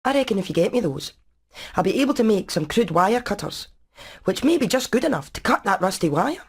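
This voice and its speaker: A woman's voice: medium pitch, big pitch swings, brisk, husky. A woman voicing a brainy, practical hen engineer in a video game, with a Scottish accent and a technical, matter-of-fact way of talking. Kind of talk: animated video game acting.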